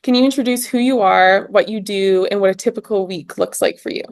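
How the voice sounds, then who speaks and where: A woman's voice: medium pitch, brisk, no echo. A young woman, hosting a prepared podcast interview as a student interviewer. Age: young adult.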